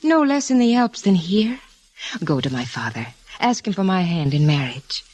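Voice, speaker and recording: A young Russian noblewoman in a vintage radio melodrama. Her voice is breathy and swings widely in pitch. She is ardent and coaxing, pressing every line with feeling. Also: very animated, normal speed, darkish tone. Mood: urging. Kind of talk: 1950s radio drama acting.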